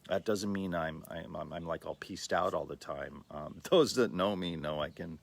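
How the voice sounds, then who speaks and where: A man in his sixties from the Los Angeles area, giving a slow, thoughtful personal talk with many pauses and fillers. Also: low voice, gravelly. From Los Angeles area, USA. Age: 60s. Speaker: livestream host.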